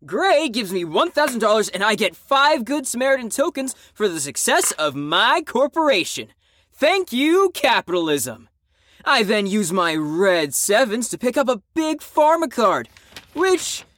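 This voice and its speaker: A woman's voice: a little high, a bit bright, no echo, studio quality, exaggerated voice. A woman playing a cocky, sardonic, competitive character who teases others in an audio drama. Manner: teasing, sardonic.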